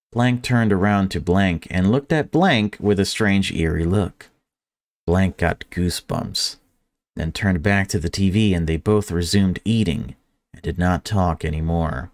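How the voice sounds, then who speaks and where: A man reading visual novel story text aloud and adding casual commentary as a gaming video creator. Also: sits low.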